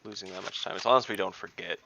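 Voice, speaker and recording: A man's voice: deep voice, fast, vocal fry, sharp tone. A man chatting casually as a player in an online tabletop game. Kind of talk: casual chat. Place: online voice call. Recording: dull lo-fi online call, keyboard typing.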